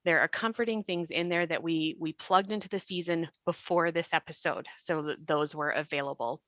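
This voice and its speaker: A woman talking earnestly and deliberately in a reflective podcast discussion, offering supportive advice. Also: deep voice, fairly even intonation, fairly bright tone, no echo, lo-fi sound. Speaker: podcast panelist and creator.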